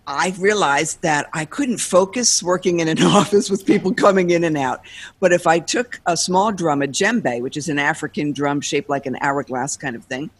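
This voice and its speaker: A woman being interviewed as a guest, telling her story in a lively way and laughing between thoughts. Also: fairly low pitch. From United States.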